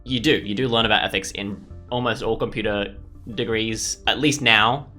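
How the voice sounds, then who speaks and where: Guy doing chill let's play chat, lowish but bright punchy voice, rambly and opinionated, faint music. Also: steady tone, moderate pace. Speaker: gaming video host. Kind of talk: casual let's play commentary. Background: faint game music.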